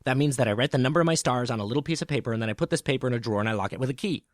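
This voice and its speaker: A man's voice: mid-range voice, racing, no echo, clean studio sound, close to an everyday voice. Man playing a harried, pompous storybook businessman. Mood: pompous, insistent. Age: middle-aged. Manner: muttering sums, stammering, pompous and brusque. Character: stressed, self-important middle-aged businessman counting stars. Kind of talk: theatrical storybook audio acting.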